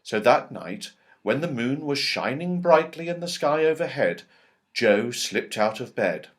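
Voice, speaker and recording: A man reads a children's story in a mid-pitched voice. His delivery swings widely up and down, with dramatic pauses and emphasis to draw young listeners in. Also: big pitch swings. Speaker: audiobook reader. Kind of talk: expressive children's storybook narration.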